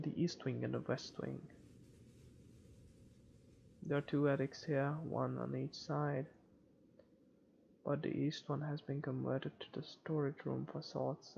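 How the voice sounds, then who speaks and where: A man's voice: medium pitch, gravelly. A man reading visual novel text aloud as a let's player, stumbling now and then and correcting himself as he goes. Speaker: video game let's player. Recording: dull lo-fi home recording, faint noise.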